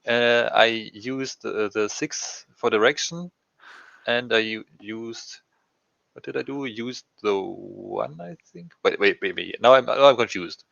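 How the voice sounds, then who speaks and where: Guy playing a board game, creaky low voice, hesitant, repeats himself, slightly muffled audio. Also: unhurried, a bit bright, dry recording. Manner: hesitant, repeats words as he thinks aloud. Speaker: game player. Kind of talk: casual chat while playing.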